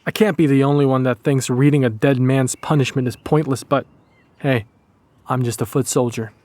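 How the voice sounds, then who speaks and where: A man's voice: medium pitch, steady tone, normal speed, a little mellow, no echo, natural voice. A man playing a bitter, brooding Polish resistance executioner narrating his wartime journal in a dramatic audiodrama. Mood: grim, tense.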